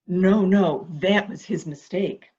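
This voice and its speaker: A woman's voice: lowish voice, slow pace. A woman playing a coaxing, anxious character who humors a madman in a theatrical murder mystery drama, recorded with muffled sound. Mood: gently probing. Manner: soft questioning, flattering, theatrical. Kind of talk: amateur murder mystery audio drama acting.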